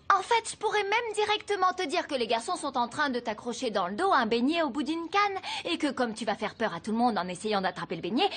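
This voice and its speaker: High, lively cartoon schoolgirl. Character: sassy, quick-witted schoolgirl, bold and playful. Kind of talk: French-dubbed TV cartoon acting.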